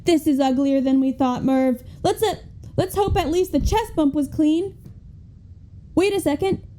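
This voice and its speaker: A woman's voice: high-pitched, unhurried, warm tone. A young woman playing an earnest, gushing female sports co-commentator in a comic radio play, speaking dramatically. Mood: grave, dramatic. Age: young adult. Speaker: student actor in a radio play.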